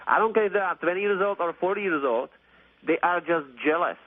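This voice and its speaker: Male radio caller, late 30s, slightly high pitch, gushing and repetitive, thin telephone sound. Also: fairly quick, no room sound. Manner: effusive, rambling, repetitive, mildly profane. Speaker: phone-in caller. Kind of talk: casual, opinionated radio call-in chat. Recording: tinny phone line on radio.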